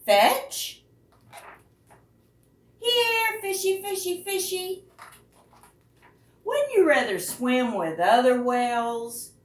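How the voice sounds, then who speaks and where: A woman's voice: very high-pitched, voice pushed up, heavily acted. A woman voicing an exasperated, pleading young boy from a picture book, in a dramatic, wheedling, swooping manner. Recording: roomy echo, distant microphone.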